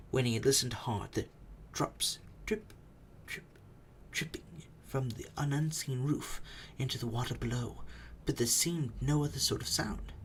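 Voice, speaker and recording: A storyteller giving a dramatic, suspenseful reading of a book, with phrases often falling away into a hush. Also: mid-range voice. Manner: expressive, suspenseful, hushed phrase endings. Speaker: narrator. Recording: faint background noise.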